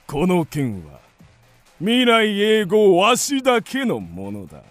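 An actor playing a cruel, gloating king of ancient China in a radio drama, with pompous, drawn-out, theatrical delivery. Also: high voice, huge pitch swings, no echo, heavily acted. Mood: menacing, gloating. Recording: music behind the voice.

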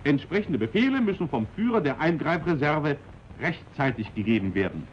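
A man's voice: on the high side, big pitch swings. Man narrating an old training film. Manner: measured, instructive delivery. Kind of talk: formal documentary narration. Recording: dull 1940s film soundtrack with faint hiss.

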